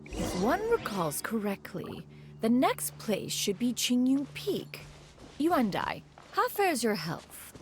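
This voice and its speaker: A woman's voice: on the high side, big pitch swings, takes it slowly, soft background music. An actress playing a lofty, protective immortal woman in a video game, with formal, archaic and assured speech. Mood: courteous, commanding.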